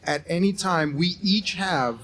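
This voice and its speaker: A man's voice: sits high, fairly bright tone, faint music behind. A man in his forties co-hosting a live podcast on a college campus, upbeat and laughing often. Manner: upbeat, laughs often, rushes through explanations. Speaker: podcast co-host and game host. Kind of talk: casual live podcast chat.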